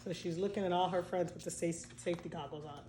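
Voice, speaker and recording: A woman teacher reading a storybook aloud to children, expressive and speaking directly to young listeners. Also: lowish voice, fast talker, a little hiss or hum. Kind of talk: storybook read-aloud with asides. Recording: echoey room.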